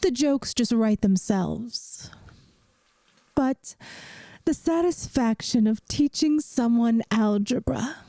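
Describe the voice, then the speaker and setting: Woman acting a slow, soft-spoken gentle vampire teacher. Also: a little high, animated, no echo. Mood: reassuring, wry. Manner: soft, unhurried, soothing, teacherly. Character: centuries-old female vampire math teacher, gentle, wry, reassuring. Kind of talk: ASMR audio roleplay.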